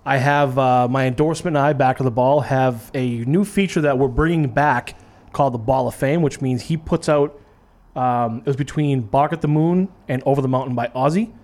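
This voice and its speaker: A man's voice: mid-range voice, a little mellow. Wry, joking radio host man. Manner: joking, wry, quick asides. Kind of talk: casual radio studio chat.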